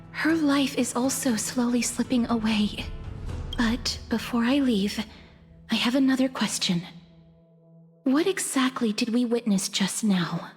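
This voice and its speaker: An actress voicing a dutiful young female envoy in a video game, speaking politely and earnestly in a measured way. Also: a little high. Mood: quiet resolve. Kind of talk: dramatic video game voice acting. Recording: music underneath.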